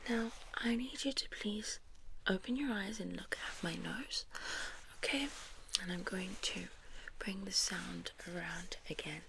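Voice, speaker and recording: Slow, whispering South African woman. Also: mid-range voice, a little hiss or hum, low-quality recording. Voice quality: soft, breathy whisper. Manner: gentle, reassuring step-by-step instructions. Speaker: ASMR creator playing a medical examiner. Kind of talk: soft-spoken whispered ASMR medical roleplay.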